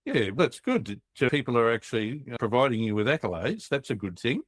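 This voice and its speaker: A man's voice: medium pitch, big pitch swings, fairly quick, no room sound, studio quality. A man hosting a business podcast, interviewing in an upbeat, jovial way. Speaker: podcast host. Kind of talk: casual interview chat.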